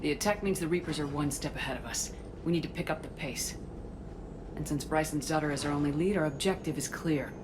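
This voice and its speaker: An actress playing a resolute military commander in a sci-fi video game, speaking in clipped, authoritative commands. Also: female voice, low voice, fairly quick, background noise, natural voice. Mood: briskly determined.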